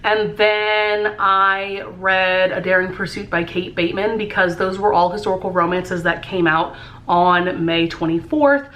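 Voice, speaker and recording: A woman's voice: medium pitch, a bit bright. A young adult woman chatting casually about books while doing her makeup at home, upbeat and full of "um" and "like". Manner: chatty and upbeat, many fillers like "um" and "like". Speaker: book vlogger. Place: room at home lit by a lamp.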